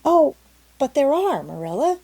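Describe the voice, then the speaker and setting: A woman voicing an excitable, imaginative young girl with a breathless, dramatic, talkative manner in a storybook reading. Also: high voice, unhurried, vocal fry, no echo, pitched above the performer's own voice, heavily acted. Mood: eager, insistent. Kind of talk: storybook character voice in audiobook.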